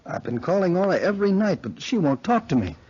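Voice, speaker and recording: A man's voice: high-pitched, sing-song, quick pace, darkish tone, breathy edge, full character voice. An actor in a 1940s radio drama playing an earnest, puzzled young college man. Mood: puzzled, hurt. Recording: muffled 1940s radio recording.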